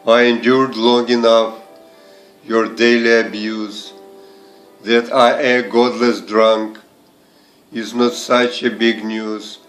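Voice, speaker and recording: A man reading verse aloud in a measured recitation, in short deliberate phrases set apart by pauses. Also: mid-range voice, flat delivery, a bit bright, soft background music, poor audio. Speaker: poetry reader and translator. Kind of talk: slow, measured poetry recitation.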